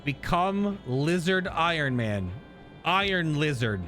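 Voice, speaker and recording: A man's voice: a little high, very animated, slow pace, fairly bright tone, soft background music. A man giving casual, informal reaction commentary as a game streamer, joking and swearing freely.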